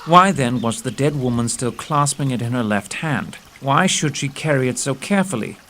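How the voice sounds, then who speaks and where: A man playing a shrewd, logical gentleman detective in an audio drama, precise, formal and inquisitive. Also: medium pitch, animated, normal speed, a little hiss or hum. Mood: analytical, brisk. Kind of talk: audio theatre acting.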